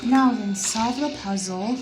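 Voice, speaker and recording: A woman's voice: a little high, unhurried, rough recording. A young woman doing casual game streamer commentary, with simple, exclamatory reactions. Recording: loud game music behind the voice.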